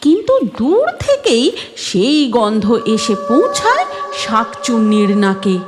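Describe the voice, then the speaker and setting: A woman's voice: fairly high pitch, huge pitch swings, measured pace, background noise. A woman narrating a scripted cartoon story. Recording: music behind the voice.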